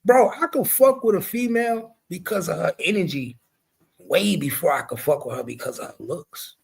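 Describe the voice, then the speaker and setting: Man in his forties, high-pitched voice, blunt, profane, animated host. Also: moderate pace, creaky, breathy edge. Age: 40s. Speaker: show host. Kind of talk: casual chat.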